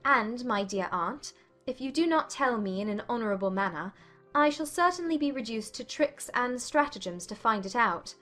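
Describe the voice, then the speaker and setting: Woman acting a curious young gentlewoman, fairly high voice, articulate, formal, insistent, audiobook drama, faint music. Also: lively intonation, normal speed. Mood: playfully determined.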